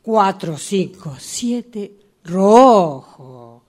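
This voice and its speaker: Woman acting husky, emphatic, slow woman. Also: medium pitch, lively intonation. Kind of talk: radio drama improvisation in nonsense words.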